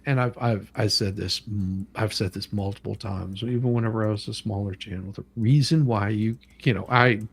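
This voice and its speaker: A man hosting a casual livestream, talking in a halting, repetitive and emphatic way. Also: lowish voice, vocal fry, breathy edge. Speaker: livestream host with own channel.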